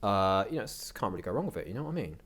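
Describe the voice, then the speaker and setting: A man's voice: lowish voice, very animated, very fast, a bit bright, husky. A young British man chatting casually as an interview guest, telling anecdotes in a slangy, rambling way. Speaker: interview guest, content creator.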